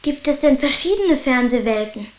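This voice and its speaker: A woman's voice: high voice, animated, moderate pace, roomy sound. A woman playing an earnest, curious and stubborn young boy with a childlike, questioning way of talking in a radio play.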